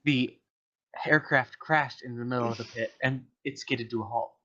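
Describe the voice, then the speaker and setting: A man's voice: mid-range voice, fairly even intonation, moderate pace, sharp tone. Man narrating a story, dull lo-fi audio. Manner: stumbles over words and corrects himself. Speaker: reader of a comedic story to friends in a voice channel. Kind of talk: amateur dramatic story narration.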